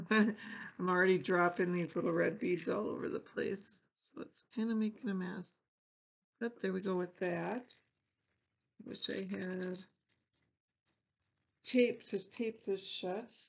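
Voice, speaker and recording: An older woman with a gravelly edge to her mid-pitched voice talks her way through a craft project. She chats casually and pokes fun at her own mistakes. She is recorded by a camera sitting on her table indoors. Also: steady tone, slow pace, long pauses. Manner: chatty, self-deprecating, thinking aloud while working. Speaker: craft channel host. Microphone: fixed camera over a craft table.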